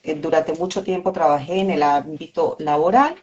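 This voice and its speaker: Low-voiced Venezuelan woman, thoughtful guest. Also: dull audio. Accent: Venezuelan Spanish. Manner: warm, thoughtful, with many "eh" pauses. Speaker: psychologist guest. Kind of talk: interview over a video call.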